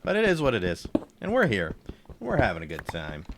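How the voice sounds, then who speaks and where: A man with a low, slightly congested voice chatting casually while playing a game on stream and thinking aloud. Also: huge pitch swings, brisk, noisy background. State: congested, throat tickle. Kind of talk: casual live gameplay commentary.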